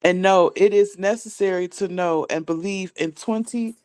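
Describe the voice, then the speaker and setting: A woman's voice: medium pitch, normal speed, darkish tone, clear, resonant tone, no echo. A woman, a student in a class, reading a religious text aloud line by line.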